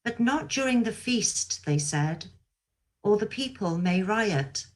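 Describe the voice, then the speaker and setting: A woman's voice: medium pitch, expressive, slow. A woman giving a scripted Bible reading as a scripture reader over a video call.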